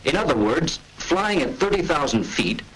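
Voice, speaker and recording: A man giving scripted, measured and authoritative narration for a 1940s military training film. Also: on the high side, very animated, slight background noise, poor audio.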